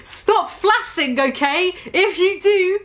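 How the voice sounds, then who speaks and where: A woman's voice: extremely high pitch, takes it slowly, fairly bright tone, pitched above the performer's own voice, exaggerated voice. A woman voicing a defensive, whiny teenage fanfiction author, emphatic and indignant at her critics.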